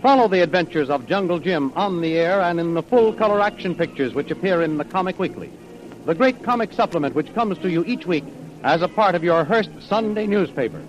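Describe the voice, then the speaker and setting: A man's voice: high-pitched, expressive, fairly quick. An American man announcing in a scripted, declamatory 1930s radio style, promotional in manner. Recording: dull vintage radio recording with music.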